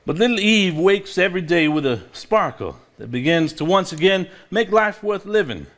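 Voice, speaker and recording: A stage actor plays a sentimental man reading a grieving father's diary aloud. His voice sits a little high for a man. He is measured, formal and tender, in a live theatrical style. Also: expressive, a bit bright. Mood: wistful, fond.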